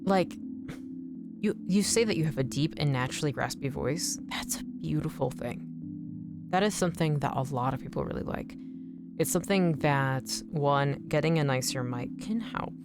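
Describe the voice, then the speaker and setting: A young woman streamer with a slightly raspy, nerdy voice, chatting casually and encouragingly to her viewers in a rambling way. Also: low voice, normal speed. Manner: rambling, encouraging, lots of "like" and restarts. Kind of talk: casual chat to stream viewers while drawing. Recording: music playing in the background. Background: music playing.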